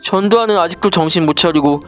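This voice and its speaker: A woman's voice: fairly low pitch, fast talker, music in the background, natural voice. A woman playing a naive, scheming would-be politician sidekick in a storybook political satire, casual, exclamatory and wheedling. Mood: self-justifying. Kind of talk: scripted political satire dialogue, storybook parody.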